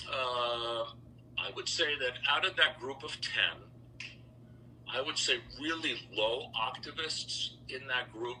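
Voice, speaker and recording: A middle-aged man telling stories over a video call, relaxed and rambling with lots of fillers. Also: medium pitch, a little hiss or hum, poor audio. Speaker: interview guest, founder of a choral institute. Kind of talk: casual storytelling interview.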